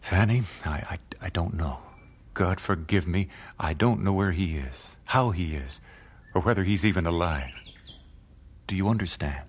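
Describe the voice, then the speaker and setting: An actor playing an earnest nineteenth-century American gospel singer in a radio drama, speaking in a measured, solemn, dramatic way. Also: male voice, low voice, husky. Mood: anguished, guilty. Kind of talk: radio drama narration and dialogue.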